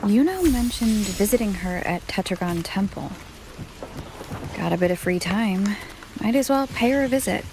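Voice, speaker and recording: A woman's voice: medium pitch, animated, moderate pace, background noise, close to an everyday voice. An actress playing an easygoing, curious young female wanderer in a video game, musing to herself. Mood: casual.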